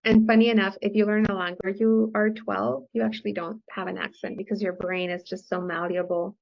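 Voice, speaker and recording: A woman's voice: mid-range voice, fairly even intonation, brisk, creaky. A woman guest whose first language is Spanish, raised on the US-Mexico border, explaining money matters in an enthusiastic interview manner and often tagging sentences with "right?". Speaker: guest, financial coach. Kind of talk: conversational interview. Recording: dull lo-fi podcast audio.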